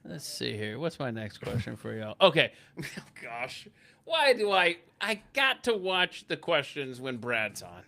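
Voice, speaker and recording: A man's voice: mid-range voice, big pitch swings, measured pace, vocal fry, sharp tone. A middle-aged man hosting a casual panel chat, easily flustered and often interrupting himself. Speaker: podcast host.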